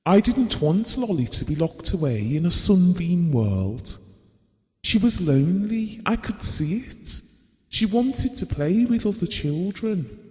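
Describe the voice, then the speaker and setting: A man's voice: high voice, very animated, takes it slowly, dark tone, heavily acted. An actor playing an earnest, devoted, guilt-prone middle-aged British husband and father, reminiscing confessionally in an audio drama. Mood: concerned, fond. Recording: reverberant hall-like room.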